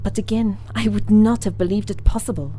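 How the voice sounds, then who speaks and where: An actress playing a powerful, solemn alien woman in a pulp science fiction radio drama, speaking in a measured, formal way. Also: mid-range voice, mellow, slight background noise, close to an everyday voice. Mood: solemn, amazed.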